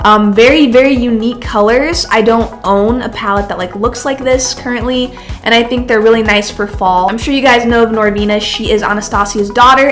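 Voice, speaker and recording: A woman's voice: on the high side, a bit bright. A young woman in her twenties with a congested, nasal voice from a cold, chatting casually through a makeup tutorial. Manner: chatty, filler-heavy, addresses viewers as beauties. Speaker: beauty vlogger.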